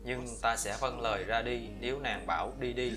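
A man delivers a scripted voice-over for a fairy-tale cartoon in a mid-pitched voice. He reads every character himself as translator. Loud operatic film music plays clearly behind his voice. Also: normal speed.

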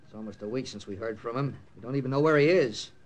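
A man's voice: mid-range voice, fast, lightly acted. A man acting a tough, grim American intelligence captain who narrates an old radio drama tersely. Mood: urgent. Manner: terse, hard-boiled. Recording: old radio recording with music behind.

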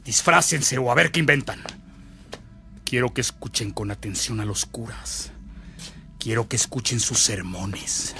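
A man's voice: mid-range voice, a little slow, creaky, bright, forceful tone. A man acting a ruthless, menacing commander with a Mexican accent in a radio drama, barking orders with contempt. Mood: cold menace.

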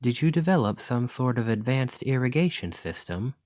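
A man playing an earnest, chatty scholar in an ASMR roleplay, speaking gently and hesitantly as he rambles and interrupts himself. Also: medium pitch, expressive, normal speed, no echo, lightly acted. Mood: gently concerned. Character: earnest, rambling male scholar gently reassuring a captive creature.

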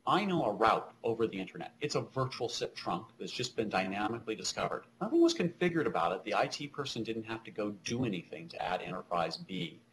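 A middle-aged man with a fairly low voice gives a technical keynote over a webcam stream. He explains step by step in a halting, hesitant way. Background noise sits faintly but noticeably behind him. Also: sing-song, fairly bright tone, low-quality recording. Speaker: keynote speaker, technology presenter. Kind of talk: technical presentation over slides.

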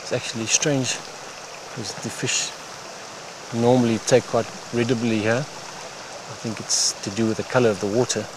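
Unhurried man over stream noise. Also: medium pitch, flat delivery. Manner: unhurried, halting phrases with pauses. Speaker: fly fishing guide. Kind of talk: instructional commentary while fishing. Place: outdoors on a wooded stream bank. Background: running water, outdoor ambience.

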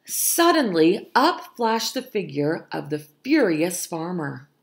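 Slow, expressive woman reading a storybook. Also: on the low side, gravelly. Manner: expressive, explanatory. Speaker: storytime reader, teacher. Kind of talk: reading a picture book aloud and explaining it to children.

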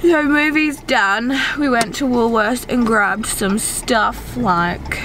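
A woman, chatting to camera in a casual family vlog, hoarse and losing her voice from a cold. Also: fairly high pitch, slow pace, very noisy. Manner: chatty, with bursts of sing-song baby talk. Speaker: family vlogger. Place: home living room, then a car. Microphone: handheld camera held at arm's length.

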